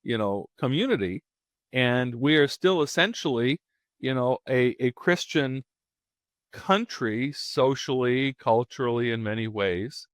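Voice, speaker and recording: A man's voice: mid-range voice, expressive, unhurried, dry recording, clean studio sound. A man hosting a podcast, introducing the show and guest in a chatty, rambling way with many fillers. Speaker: podcast host. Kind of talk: casual podcast intro and interview.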